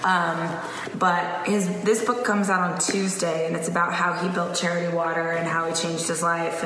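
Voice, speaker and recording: A woman's voice: on the low side, background noise. A woman in her thirties co-hosting a casual morning show, chatty and rambling, losing her voice. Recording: echoey room with long reverb.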